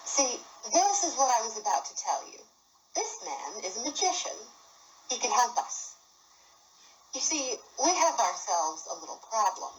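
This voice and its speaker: Woman as an excitable scheming dad, pitched up. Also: moderate pace, reverberant, a little hiss or hum, poor audio. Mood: flustered, eager. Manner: excitable, emphatic. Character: eager, excitable scheming father. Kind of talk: amateur musical audio drama acting.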